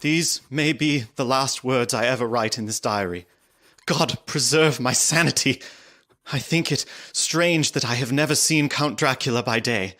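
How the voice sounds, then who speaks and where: A man playing a frightened young English gentleman prisoner, giving formal, dramatic diary narration in a gothic audio drama. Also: mid-range voice, close to an everyday voice. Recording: music underneath the voice.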